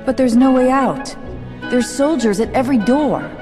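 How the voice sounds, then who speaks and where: A woman's voice: high-pitched, moderate pace, big-room sound, over music, natural voice. An actress playing a kind, proud, free-spirited young woman in a video game. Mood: wistful.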